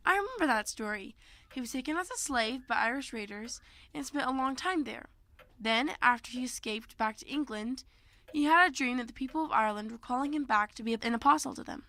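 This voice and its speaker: A woman's voice: sits high, animated, a little fast, sharp tone, no room sound, full character voice. A female performer playing a studious young girl, earnest and reciting, in an audio drama. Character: bright, studious young girl listener, Veronica.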